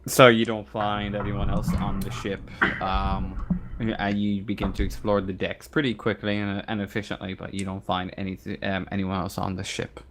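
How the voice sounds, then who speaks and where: A man acting as game master, narrating a tabletop roleplaying game casually and haltingly. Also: lowish voice, flat delivery, background noise. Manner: halting, feeling out descriptions as he goes.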